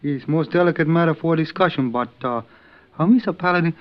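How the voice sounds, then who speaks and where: An actor playing a meek, earnest hotel servant who speaks halting, deferential broken English in an old radio drama. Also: male voice, on the high side, natural voice. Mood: deferential, hopeful. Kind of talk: 1950s radio acting. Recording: muffled old radio recording.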